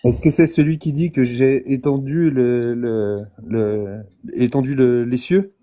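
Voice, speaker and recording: Host, man, mellow voice, halting and insistent. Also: mid-range voice, steady tone. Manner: hesitant, repeats words, pressing questions. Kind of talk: casual debate.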